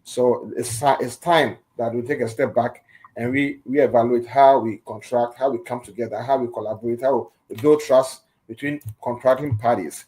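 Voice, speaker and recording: A man's voice: medium pitch, steady tone, moderate pace, darkish tone, rough recording. Guy on a radio interview, earnest, restarts a lot. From Ghana. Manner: earnest, repeats and restarts phrases. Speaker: guest.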